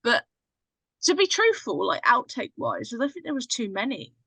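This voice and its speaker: A woman chatting casually in a group as a voice actor, telling stories in an animated, self-deprecating way. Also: a little high, moderate pace, husky, dry recording. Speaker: voice actor and podcast panellist.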